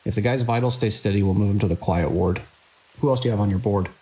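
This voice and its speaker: A man playing a weary, caring middle-aged hospital director in an audio drama, measured and dry with small hesitant pauses. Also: fairly low pitch, dark tone, natural voice. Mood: tired, reassuring.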